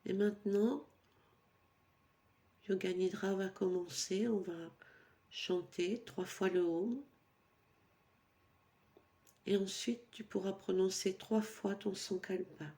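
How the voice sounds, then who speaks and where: Slow, mellow woman guiding meditation. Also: medium pitch. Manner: calm, soothing, instructional delivery with pauses. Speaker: yoga nidra instructor. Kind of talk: guided meditation for sleep.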